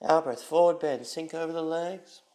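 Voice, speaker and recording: A man leading a guided yoga class as instructor, giving short, calm, unhurried spoken cues. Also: on the high side, faint music behind.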